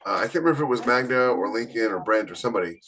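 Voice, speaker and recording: A man co-hosting a writing podcast in casual chat, emphatic and brisk, with joking asides. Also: medium pitch, lively intonation, a bit bright. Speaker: podcast co-host.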